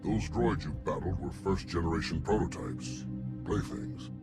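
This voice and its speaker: A man's voice: sits low, normal speed, close to an everyday voice. A man voicing a haughty alien Hutt scientist in a video game, condescending and wordy, a huge slug-like genius. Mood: proud, urgent. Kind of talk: video game cutscene acting. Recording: music playing behind.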